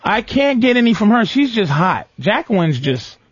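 A man's voice: high voice, very animated, normal speed, highs cut off. A man hosting a radio show, blunt and teasing, swearing freely in casual talk. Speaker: radio host.

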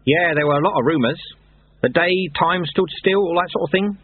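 A man voicing a gruff, wily old monk sweeper in an audiobook story, with folksy, ungrammatical talk and a raised, animated voice. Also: on the high side, fairly quick, no echo, narrow, tinny audio, voice pushed up. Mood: sly, mentoring.

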